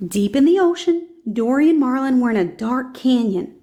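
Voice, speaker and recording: A woman's voice: sits high, animated, normal speed, dark tone. A woman reading a children's storybook aloud as scripted narration.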